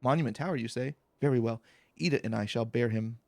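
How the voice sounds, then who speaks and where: A man voicing a grave, formal, steadfast warrior from a fantasy game in measured speech. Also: medium pitch, a little mellow, no room sound, pitched below the performer's own voice, natural voice. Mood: solemn, resolute. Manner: measured, formal, archaic phrasing.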